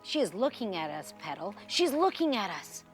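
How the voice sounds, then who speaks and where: A woman voicing an excitable, trusting young boy in a storybook, exclaiming in a breathless, wide-eyed way. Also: medium pitch, normal speed, faint music behind, close to an everyday voice. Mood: excited, hushed. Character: excitable, trusting young island boy who sees a princess.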